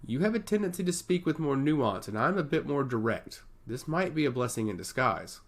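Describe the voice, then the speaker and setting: A man's voice: mid-range voice, very animated, brisk, lightly acted. A man voicing a blunt, easygoing noble brother in a fantasy audiobook, direct and wry. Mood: thoughtful, wry.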